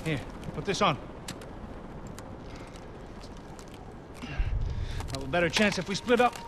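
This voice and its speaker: An actor playing a streetwise bodyguard, wry and brotherly, in an action game. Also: male voice, high voice, expressive, fast talker, noisy background. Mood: cool, wry. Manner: wry, familiar. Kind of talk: action game cutscene acting.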